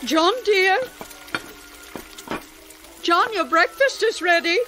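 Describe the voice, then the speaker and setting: An actress playing a devoted, gentle elderly wife in a radio drama, speaking in a tender, fretful, pleading way. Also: female voice, very high-pitched, slow pace, faint music behind, full character voice. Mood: concerned. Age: older.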